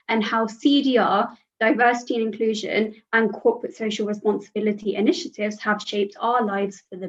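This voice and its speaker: A young woman in her twenties, not a native English speaker, hosting a podcast with polished introductions. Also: on the high side. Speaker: host. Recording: dull lo-fi audio.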